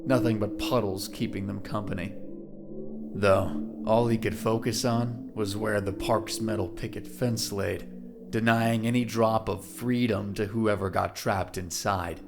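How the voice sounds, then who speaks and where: A man's voice: lowish voice, fairly even intonation, measured pace, over loud music. A man narrating a scripted audio drama in a dramatic storytelling style.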